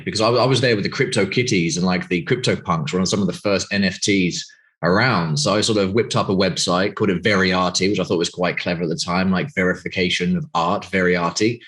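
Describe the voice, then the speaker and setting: A man in his forties with a British accent, talking from a video call in a fairly low voice. He rambles through his answers, doubling back and filling gaps with ums. It sounds like a loose, unscripted chat. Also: a bit bright. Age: 40s. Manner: rambling, many fillers and restarts. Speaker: game studio CEO. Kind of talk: casual interview answers.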